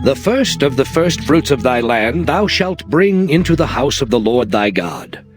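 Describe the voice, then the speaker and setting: Male Bible narrator, solemn, measured, declamatory, animated intonation, dramatized scripture reading, prominent background music. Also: mid-range voice. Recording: music behind the voice.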